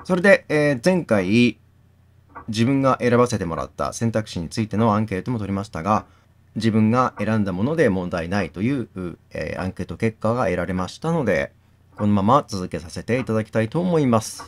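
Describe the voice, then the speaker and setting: A man's voice: fairly low pitch, animated, fairly quick. A man hosting a game playthrough, reading text aloud and commenting on it.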